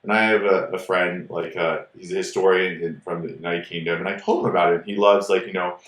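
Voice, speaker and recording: A man who grew up in Ecuador tells stories as a podcast co-host, rambling and animated, with "like" in nearly every phrase. His voice sits low. The room around him is echoey. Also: a little fast. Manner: rambling and animated, many "like" fillers. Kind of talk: casual storytelling chat.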